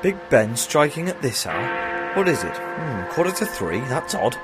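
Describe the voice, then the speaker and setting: A man playing an eccentric time-travelling doctor, brisk and exclamatory, in a fan audio drama. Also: mid-range voice, very animated, loud music behind the voice.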